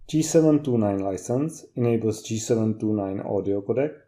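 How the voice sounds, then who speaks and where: Man with a very dark, mellow voice. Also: medium pitch. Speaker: technical trainer. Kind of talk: scripted instructional narration.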